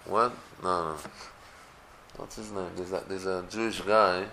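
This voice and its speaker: Israeli guy talking torah casually, gravelly bright voice, swings up and down a lot, echoey room. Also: low voice, measured pace, a little hiss or hum. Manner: animated, many restarts, rising to emphatic peaks. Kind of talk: casual religious talk.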